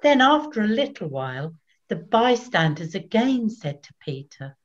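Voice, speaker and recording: An older woman reading scripture as narrator in a deliberate, solemn, scripted manner. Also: mid-range voice, big pitch swings, muffled recording. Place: small plain room at home.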